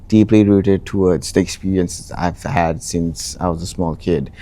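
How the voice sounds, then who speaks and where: A young man from Nepal, answering interview questions in a relaxed way, often restarting phrases with ums. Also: deep voice, unhurried. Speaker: interviewee, account manager.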